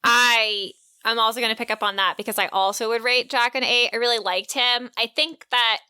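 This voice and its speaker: A woman's voice: fairly high pitch, steady tone, a little fast, sharp tone, smooth, no room sound. A woman co-hosting a podcast, casually talking through her opinions of a book. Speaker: podcast co-host.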